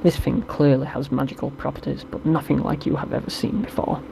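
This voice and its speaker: A man co-hosting a gaming show, reading text adventure narration aloud in a steady storytelling way. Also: medium pitch, fairly quick, vocal fry. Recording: noticeable background noise.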